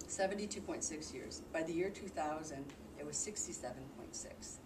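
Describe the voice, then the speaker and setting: Low-voiced woman presenting in an echoey room. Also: lively intonation, moderate pace. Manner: conversational, explanatory. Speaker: presenter reporting on a charity trip. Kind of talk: informal presentation to a group. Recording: distant mic in noisy, echoey room.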